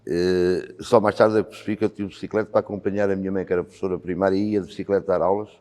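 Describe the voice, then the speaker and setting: A man's voice: sits low, flat delivery, very fast, a little mellow. A man in his sixties with a European Portuguese accent, a volunteer fire commander answering interview questions thoughtfully, with frequent hesitations. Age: 60s. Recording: long hall reverb with faint music.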